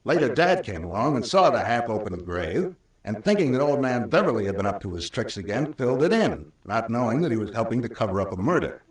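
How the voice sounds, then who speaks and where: Vintage radio captain, played by a man: authoritative, emphatic storyteller, lively inflection, roomy distant recording. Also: mid-range voice, lightly acted. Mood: explanatory, grave. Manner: deliberate, emphatic, storytelling explanation. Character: shrewd, authoritative police captain explaining a case. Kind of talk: old-time radio drama acting.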